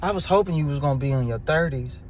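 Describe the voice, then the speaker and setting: A man in his twenties telling a dating story in a crude, animated rant, dropping to low mutters and rising to loud outbursts. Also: fast, a little hiss or hum. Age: 20s. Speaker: dating commentator.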